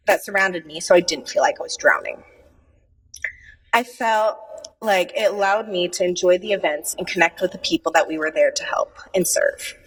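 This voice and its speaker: A young woman gives a heartfelt, measured speech in a husky, fairly low voice. She is picked up from afar, and a long hall echo trails behind her words. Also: distant mic. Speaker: outgoing Lucia court member. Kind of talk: prepared speech. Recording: echoey hall, heard from afar.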